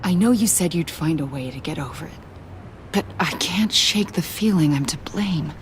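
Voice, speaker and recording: A woman playing a troubled, guilt-ridden character in a video game, speaking in a halting, earnest way. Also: low-pitched, lively intonation, slight background noise. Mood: worried, remorseful. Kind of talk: video game voice acting, naturalistic.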